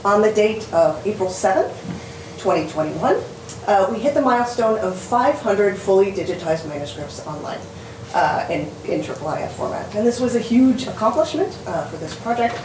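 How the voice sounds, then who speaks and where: A woman giving an earnest conference talk, often pausing on fillers. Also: medium pitch, sing-song. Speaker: panel speaker. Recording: echoey hall from far off, noisy.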